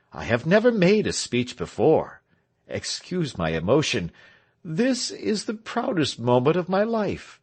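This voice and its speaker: A bashful, pompous village hero, as a man puts him on, speaks in a voice pitched above his usual one. He addresses the crowd with mock grandeur, as in a storybook read aloud. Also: darkish tone, full character voice.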